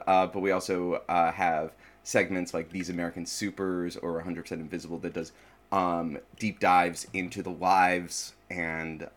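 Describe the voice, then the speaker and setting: A man talking casually as a podcast guest, joking and halting as he explains things. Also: low-pitched. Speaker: podcast guest, show creator. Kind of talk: casual interview talk.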